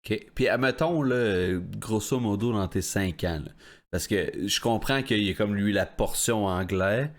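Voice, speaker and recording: A man's voice: fairly low pitch, unhurried. A man with a Québécois French accent co-hosting a podcast, asking loose, rambling questions. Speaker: podcast co-host. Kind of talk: casual podcast chat.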